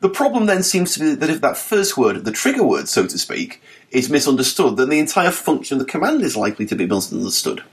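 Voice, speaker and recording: A man's voice: mid-range voice, fast. Guy reading out a tech essay for a podcast, voice bounces up and down a lot, dry little jokes. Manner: lively, articulate reading with dry wit. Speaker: podcast narrator. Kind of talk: scripted narration of an article.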